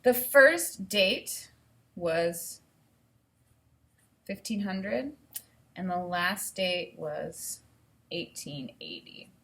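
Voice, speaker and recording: A woman teacher giving an instructional read-aloud, explaining and thinking out loud as she goes. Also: fairly low pitch, expressive, very slow, long pauses.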